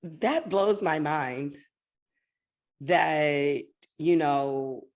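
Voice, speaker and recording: A woman hosting a podcast with a low, deep-set voice. She is animated and quick to chime in with agreement, talking in short bursts as she works out her thoughts. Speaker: podcast host. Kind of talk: conversational podcast interview.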